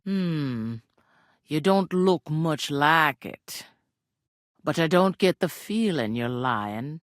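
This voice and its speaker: An actress playing a plain-spoken, bossy, world-weary village innkeeper in a medieval video game, with a rustic working-class English regional accent and a blunt, wry manner. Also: female voice, on the low side, a bit bright, dry recording, clean studio sound. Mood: wary, sceptical. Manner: blunt, earthy, colloquial, wry. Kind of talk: video game character dialogue.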